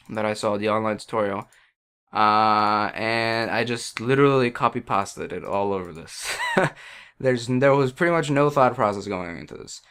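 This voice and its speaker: A man's voice: medium pitch, a bit bright, clear, resonant tone. A man at his computer, speaking into a headset and explaining audio settings in a rambling, hesitant way full of fillers. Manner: hesitant, many fillers and restarts, self-deprecating. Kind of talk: casual rambling tech explanation. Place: at a computer by a wall indoors.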